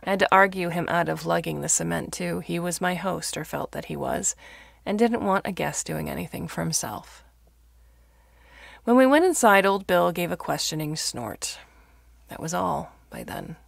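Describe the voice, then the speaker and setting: A woman reading fiction aloud as a narrator, in a measured, expressive storytelling manner. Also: lowish voice, creaky, no room sound, clean studio sound. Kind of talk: scripted audiobook-style narration of fiction.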